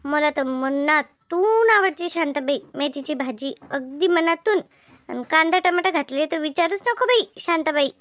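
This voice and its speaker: A woman's voice: sits high, lively intonation, moderate pace, a bit bright, dry recording. A woman voicing an eager, gossipy village neighbour in a comedy cartoon, effusive and inquisitive, with a rural Maharashtrian accent. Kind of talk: animated comedy cartoon dialogue.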